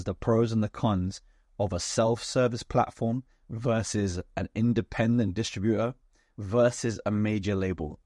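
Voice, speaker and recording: A man hosting an interview, his voice hoarse and raspy, asking structured, articulate questions. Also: lowish voice, darkish tone, dry recording, clean studio sound. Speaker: podcast host. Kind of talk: interview, conversational.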